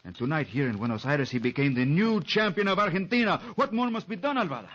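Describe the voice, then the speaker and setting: An actor playing a fawning, crooked Argentine boxing second with a Spanish accent in a radio drama. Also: male voice, fairly high pitch, sing-song, quick pace, a bit bright, a little hiss or hum. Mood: sly. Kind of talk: vintage radio drama acting. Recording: muffled old radio recording.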